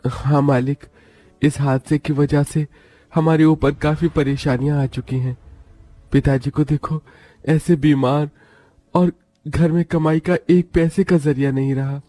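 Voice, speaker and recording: An actor playing a humble, dutiful young son of a poor family in a story, earnest and deferential. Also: male voice, on the high side, little pitch movement, measured pace, mellow, natural voice. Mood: humble, troubled.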